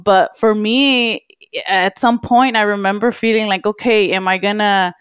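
Smooth-voiced woman in casual podcast chat. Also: mid-range voice, no echo, clean studio sound. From Los Angeles. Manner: reflective, many fillers, laughs often. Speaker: podcast co-host.

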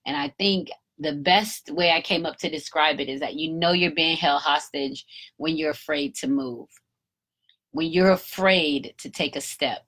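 Woman, fifties, very low voice, candid motivational podcast talk, casual swearing. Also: a bit bright. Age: 50s. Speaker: podcast host. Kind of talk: reflective solo podcast talk.

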